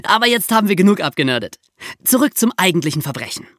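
A woman with a fairly low voice that moves around a lot in pitch. She co-hosts a true-crime podcast and explains things like a storyteller, in a dry, close recording. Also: very animated, fast talker, a bit bright, no room sound. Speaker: podcast co-host.

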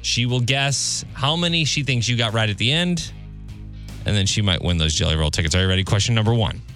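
A man's voice: on the low side, fairly quick, a bit bright. A man hosting a radio show, reading out trivia questions and bantering as quizmaster. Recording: music bed behind the voice. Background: background music.

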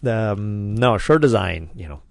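An Italian-accented man hosts a podcast in casual chat. His voice sits low and he wanders through his points with plenty of ums. The studio sound is close and dry. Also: lively intonation, measured pace, vocal fry, dry recording. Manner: chatty, rambling with many fillers. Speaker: podcast host. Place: quiet recording studio.

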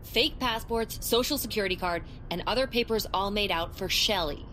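Actress as a cool, practical bounty hunter. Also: female voice, mid-range voice, normal speed, a bit bright, slight background noise, natural voice. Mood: cool, thoughtful. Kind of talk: audio drama acting.

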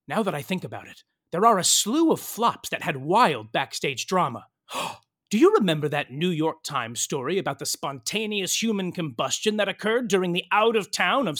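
An actor playing a gossipy, melodramatic soap opera narrator, mock-grand and arch in comic scripted narration. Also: male voice, high-pitched, bright, forceful tone, heavily acted.

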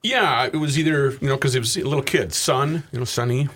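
A man with a husky voice co-hosting a radio show, reminiscing casually with joking, self-mocking interjections. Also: medium pitch, a little fast, a bit bright.

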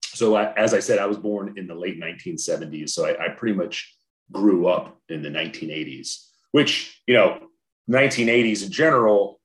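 A man's voice: low voice, moderate pace. A man in his forties from Southern California, a podcast guest talking reflectively and earnestly, often restarting his sentences. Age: 40s. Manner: reflective, earnest, frequent restarts and repeated words. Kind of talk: casual interview conversation.

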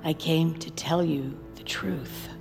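An actress playing an anxious, protective middle-aged mother in an audio drama, hushed, soothing and earnest. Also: low-pitched, fairly even intonation, takes it slowly, vocal fry, over music, exaggerated voice. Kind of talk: naturalistic audio drama acting. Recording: long reverberant hall echo.

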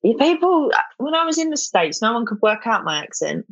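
A woman's voice: a little high, big pitch swings, brisk, dry recording, muffled recording. A young woman with a South East London accent, chatting casually as an interview guest, full of fillers and restarts. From England.

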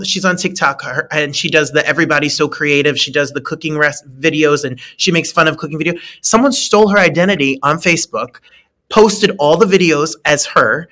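A woman's voice: deep voice, a bit bright. A woman in her late thirties hosting an interview, gushing with praise and often stumbling and restarting her sentences. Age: 30s. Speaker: interview host. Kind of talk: casual interview chat.

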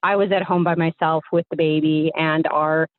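Tinny phone-line caller: young American woman, slightly low voice, chatty, hesitant storyteller. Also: fairly even intonation, moderate pace, no room sound. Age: young adult. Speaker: phone-in guest. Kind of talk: casual personal storytelling. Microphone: phone call.

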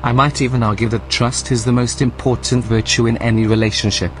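A man's voice: mid-range voice, flat delivery, fairly quick, faint music behind, lightly acted. A man voicing an unflappable, passive-aggressive male artificial intelligence in an audio drama, formal and falsely polite. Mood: veiled threat.

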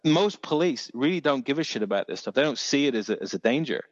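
A man's voice: medium pitch, animated, fast, no room sound, big-room sound, highs cut off. A man with a Dorset accent telling stories in a casual chat, rambling with many restarts and the odd swear word. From England.

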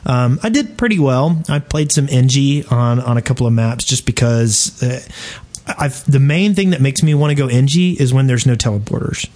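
Man, casual podcast host, mid-range pitch, rambling, self-deprecating, conversational. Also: normal speed, darkish tone. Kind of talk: casual chat about gaming and personal life.

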